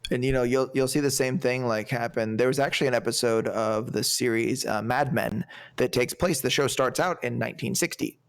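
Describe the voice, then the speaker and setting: Man, young adult, podcast co-host, rambling casual talk, gravelly fry. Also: medium pitch, brisk, hardly any pauses. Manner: rambling, many restarts and fillers. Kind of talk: casual movie podcast chat.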